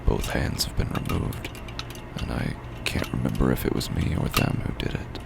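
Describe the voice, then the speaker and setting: An actor playing a broken, haunted man who narrates horror audio drama slowly and grimly, his gravelly voice often sinking into a whisper. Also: very noisy, full character voice. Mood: grim, numb. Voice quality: very deep gravelly fry, often breaking into whisper. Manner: slow, grim, deliberate delivery.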